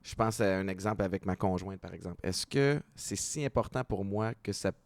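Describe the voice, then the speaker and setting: Lowish-voiced Québécois male host. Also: brisk, darkish tone, dry recording. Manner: thoughtful, hesitant, many fillers. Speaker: podcast host. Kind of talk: casual interview chat.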